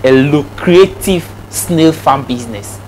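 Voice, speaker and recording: A man from Ghana being interviewed about his farming, talking in a soft, easygoing way. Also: fairly high pitch, slow, a little hiss or hum, low-quality recording. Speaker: interview guest, snail farmer. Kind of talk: casual interview.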